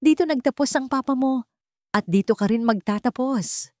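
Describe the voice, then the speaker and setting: A woman's voice: high voice, moderate pace, darkish tone, no room sound, studio quality, voice pushed up, close to an everyday voice. A woman voicing a cold, taunting male villain in a dramatized audio story. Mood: mocking. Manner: taunting, threatening.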